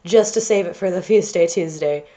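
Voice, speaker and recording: A woman's voice: on the low side, moderate pace, warm tone. A woman with a stuffy nose talking casually and rambling over a drawing video, with lots of fillers and restarts. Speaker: art channel host. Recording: slightly dull lo-fi home recording.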